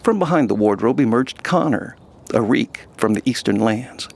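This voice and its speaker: A man's voice: mid-range voice, lively intonation, moderate pace, gravelly. A man hosting and narrating scripted fantasy stories. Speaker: host and narrator.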